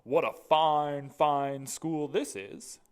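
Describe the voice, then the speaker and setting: A performer voicing a proud, overenthusiastic male school principal in a storybook, with grand, drawn-out exclamations. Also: medium pitch, a little mellow, smooth, voice pushed up.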